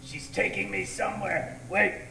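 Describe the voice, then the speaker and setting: An actor playing a blustering, melodramatic space captain in old-time radio comedy style, halting and exclamatory. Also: male voice, high-pitched, steady tone, gravelly, bright, forceful tone, slight background noise, heavily acted. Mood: panicked. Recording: roomy echo, music underneath.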